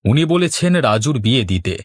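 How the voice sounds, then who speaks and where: A man voicing a worried, mild middle-aged village father in a cartoon, speaking in a measured, earnest way. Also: mid-range voice, big pitch swings, breathy edge, dry recording. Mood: pensive. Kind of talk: scripted Bengali cartoon dialogue.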